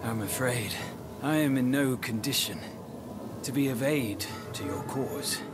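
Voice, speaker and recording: Ailing young samurai scholar for a game, male actor; measured, grave, middle register. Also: mid-range voice, lightly acted. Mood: weary, reserved. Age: young adult. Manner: formal, measured. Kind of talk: video game cutscene acting. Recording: loud music behind the voice.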